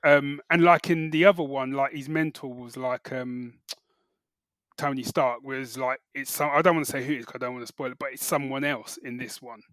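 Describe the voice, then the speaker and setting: A man's voice: mid-range voice, steady tone, brisk, a bit bright, no room sound. A man co-hosting a podcast, giving casual, opinionated reviews in colloquial speech peppered with filler phrases. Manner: colloquial, opinionated, peppers talk with "you know" and "like". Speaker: podcast co-host.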